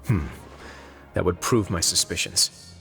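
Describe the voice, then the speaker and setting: A man voicing a composed, astute male authority figure in a video game, speaking in a measured, articulate way. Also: deep voice, vocal fry, fairly bright tone, soft background music, heavily acted. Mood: calm, thoughtful.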